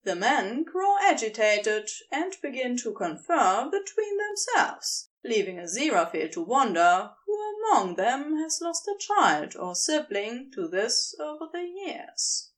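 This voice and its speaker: A woman reading a scripted story aloud as narrator, with expressive, measured storytelling. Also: a little high, recorded from a distance. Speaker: podfic reader.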